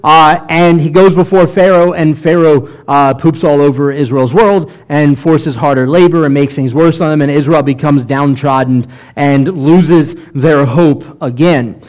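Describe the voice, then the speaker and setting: A man's voice: fairly high pitch, fairly even intonation, measured pace, a little mellow, rough recording. A man, a rabbi, teaching scripture in a conversational sermon style, reading passages aloud between explanations.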